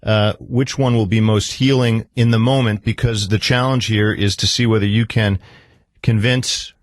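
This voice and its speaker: A man interviewing in a therapy style, deliberate and analytical, pausing as he offers interpretations. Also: on the low side, steady tone. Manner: measured, deliberate, analytical, with halting pauses. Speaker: psychologist interviewer.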